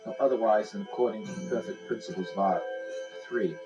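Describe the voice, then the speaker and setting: A man with a deep voice giving a solemn, formal reading of a philosophy text as scripted narration. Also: fairly even intonation, fast, highs cut off. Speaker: audiobook reader. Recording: loud instrumental music under the voice. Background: instrumental music.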